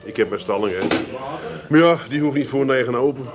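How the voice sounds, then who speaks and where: A man's voice: mid-range voice, brisk, crowd noise, lightly acted. A man playing an easygoing, reluctant working-class man in a radio comedy, dry and hesitant, with an Amsterdam accent. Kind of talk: 1980s radio comedy acting.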